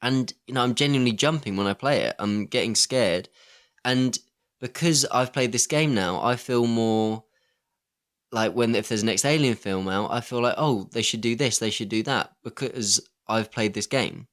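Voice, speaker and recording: A man's voice: mid-range voice, moderate pace, fairly bright tone. A man co-hosting a podcast in casual film chat, conversationally posing questions and giving his opinions. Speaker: podcast co-host.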